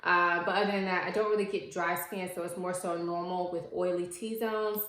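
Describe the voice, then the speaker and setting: A woman's voice: fairly low pitch, fairly even intonation, moderate pace, a bit bright. Chatty woman in her thirties, roomy sound. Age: 30s. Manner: chatty and explanatory, with frequent ums and likes. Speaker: beauty vlogger. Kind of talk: casual talk to camera. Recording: echoey room.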